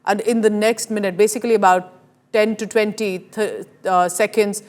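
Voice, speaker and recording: A woman's voice: medium pitch, steady tone, moderate pace, a little mellow. A woman with an Indian accent presenting live news as an anchor, emphatic and explanatory in delivery.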